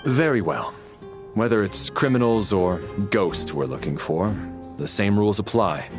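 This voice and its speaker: Calm, commanding man, low and formal with varied intonation, video game drama over music. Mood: firm. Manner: measured, formal. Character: calm, authoritative man taking charge.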